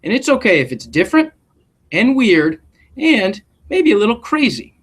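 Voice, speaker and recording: Male read-aloud storyteller, principal type, higher-pitched voice with lively, expressive swings. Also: slightly rough. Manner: expressive, deliberate storytelling delivery. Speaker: school principal reading to students. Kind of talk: live picture-book read-aloud with asides.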